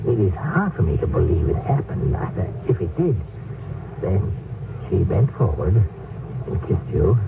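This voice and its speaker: An actor playing a bewildered man who tells his story in a hesitant, halting way in an old radio drama. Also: low voice, big pitch swings, creaky, far from the mic, heavily acted. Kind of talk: 1970s radio drama acting. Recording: tinny old radio with loud noise, off-mic.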